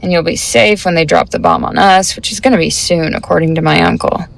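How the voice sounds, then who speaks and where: Gravelly, cool teenage gang girl. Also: low voice, big pitch swings, brisk, heavily acted. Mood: coolly persuasive. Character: cool, scheming, slangy teenage girl gang member, 1950s. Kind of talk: audio drama acting.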